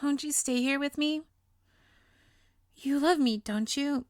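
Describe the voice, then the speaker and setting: A woman's voice: sits high, takes it slowly, no echo, clean studio sound. A woman playing a sweet, clingy, obsessively lovestruck young witch in an intimate comedic roleplay, with a cutesy, gushing, playful manner. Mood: lovestruck, earnest. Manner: cutesy, gushing, flustered stammers, playful asides. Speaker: audio roleplay voice actor.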